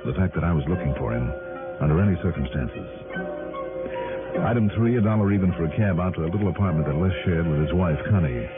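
A narrow-band vintage radio recording with prominent music under a cool insurance investigator. His very low voice is terse and wry, probing and narrating in mid-century detective drama style. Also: expressive, quick pace. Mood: brooding, terse.

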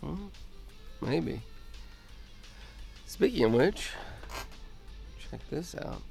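A cocky male hacker with a gravelly, fry-laden voice. He is irritable and obsessive, an audio drama character with faint music behind him. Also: a little high, very animated, very slow, darkish tone, heavily acted. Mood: relaxed, playful. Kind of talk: naturalistic audio drama acting.

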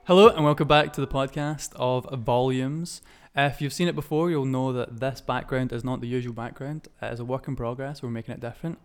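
Clear-voiced man hosting a casual podcast. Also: mid-range voice. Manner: informal, halting, self-correcting. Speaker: podcast host. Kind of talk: casual conversational podcast chat.

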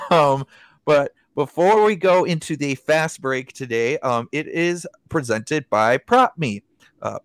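A man's voice: fairly high pitch, no echo. A man hosting a sports podcast, joking and loose, reading an ad and asking the questions. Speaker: podcast host. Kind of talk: casual sports podcast chat.

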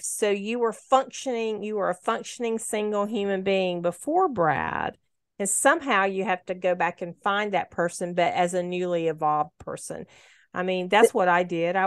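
Smooth-voiced woman in her sixties. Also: mid-range voice, dry recording, clean studio sound. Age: 60s. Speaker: guest sharing her story. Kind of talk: casual heartfelt conversation.

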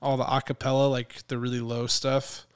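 A man co-hosting a podcast in casual chat, a little hoarse from a cold, chatty and quick to laugh. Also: mid-range voice, flat delivery. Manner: chatty, laughs often, restarts phrases. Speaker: podcast co-host.

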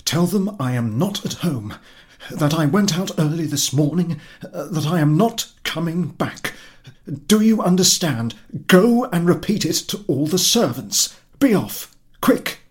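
A man voicing a flustered, cowardly country gentleman in a story, blustering, bossy and full of panicky exclamations. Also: a little high, expressive, a little slow, pitched above the performer's own voice. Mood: panicked ordering.

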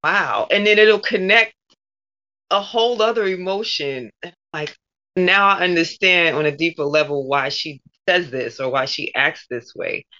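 Female interviewee, voice actor; low, forceful bright tone, conversational delivery, slightly dull lo-fi recording. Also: moderate pace. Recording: dull lo-fi audio.

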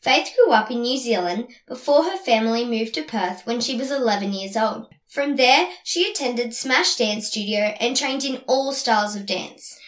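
A woman's voice: a little high, animated, moderate pace, hardly any pauses. A woman co-hosting a podcast who reads a scripted introduction in an announcer-like way. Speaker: podcast co-host. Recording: echoey with music behind.

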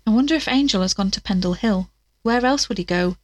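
A woman's voice: medium pitch, brisk, a little hiss or hum, lightly acted. A woman playing a plucky, curious investigator in an audio drama, chatting to listeners with wry asides as she searches. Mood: worried.

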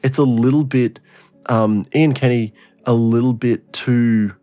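A man's voice: mid-range voice, fairly even intonation, measured pace, warm tone, no room sound, faint music behind. A man in his twenties co-hosting a podcast, rambling in casual chat with heavy swearing and lots of fillers. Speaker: podcast co-host.

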